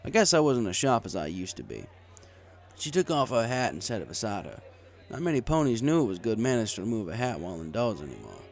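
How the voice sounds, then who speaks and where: A man's voice: fairly low pitch, wildly swooping pitch, fast, vocal fry. A man reading a story aloud in the first person as a bartender narrator. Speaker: audiobook reader. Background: music.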